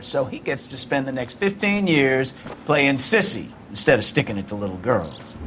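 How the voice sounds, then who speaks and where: A man's voice: mid-range voice, a bit bright, slight background noise, natural voice. An American man playing a blunt, proud older police captain in a 1940s detective game. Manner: blunt, emphatic, theatrical delivery. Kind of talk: scripted game acting.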